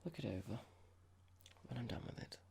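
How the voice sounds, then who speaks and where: A performer voicing a horrible, condescending office boss in a whispered ASMR roleplay, mock-polite and slow, asking pointed, patronising questions. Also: mid-range voice, animated, gravelly, warm tone, slightly rough, heavily acted. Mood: smug, reproachful.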